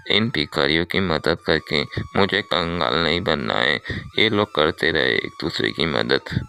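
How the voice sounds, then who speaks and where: A man's voice: low voice, vocal fry, bright, forceful tone, smooth, exaggerated voice. A man playing a greedy, miserly villager in a cartoon moral story, scornful and selfish.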